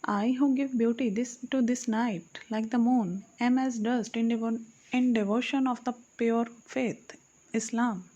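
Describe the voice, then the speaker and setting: Lady reading old-timey poetry, kinda high voice, solemn, fixes her own slips. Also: steady tone, unhurried, mellow, lo-fi sound. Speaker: audiobook reader. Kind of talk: reading archaic poetry aloud.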